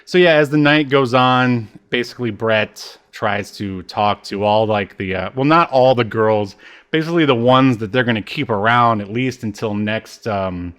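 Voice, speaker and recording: A man in casual podcast chat, recapping as co-host in a halting, hesitant way with trailing asides. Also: mid-range voice, fairly bright tone, clean tone.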